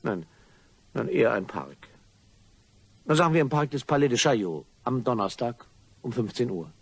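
A husky-voiced, nervous male blackmailer played by a man in a radio crime play. His pitch swings widely as he hesitates, stammers and exclaims in a theatrical way. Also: expressive, moderate pace, no echo. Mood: evasive, formal. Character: flustered male blackmailer, himself being squeezed, nervous and apologetic.

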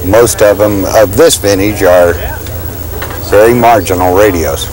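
A man's voice: fairly low pitch, measured pace, rough recording. A man in his fifties giving cautionary advice in an interview as a dealer. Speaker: radio dealer. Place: outdoors at a busy swap meet. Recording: crowd and outdoor noise behind.

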